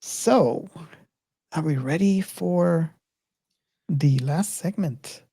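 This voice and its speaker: A man's voice: a little high, drawn-out words, gravelly, dark tone, slightly rough. A man hosting a casual film discussion and leading it from segment to segment. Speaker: podcast host leading segments.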